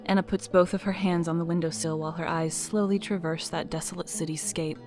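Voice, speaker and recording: A woman narrating an audio drama in a measured, dramatic storytelling manner. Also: on the low side, steady tone, a little mellow, soft background music.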